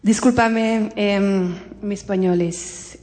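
Slow, hesitant woman in a reverberant hall. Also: mid-range voice, fairly even intonation, darkish tone. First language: English. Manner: hesitant, halting in a second language. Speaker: musician addressing a concert audience. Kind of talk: prepared remarks, partly read. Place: concert hall stage.

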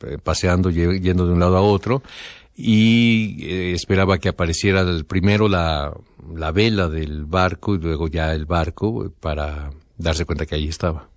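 A man interpreting as a radio interviewer, improvising as he goes, halting and correcting himself. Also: deep voice, no echo. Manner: halting, self-correcting delivery.

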